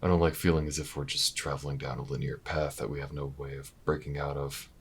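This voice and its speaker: An actor playing a weary, wry, protective investigator in an intimate audio drama, low-key and dry, with hesitant pauses. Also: male voice, little pitch movement, a little hiss or hum. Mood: grave. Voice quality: very deep, gravelly, husky. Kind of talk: audio roleplay acting, intimate and naturalistic.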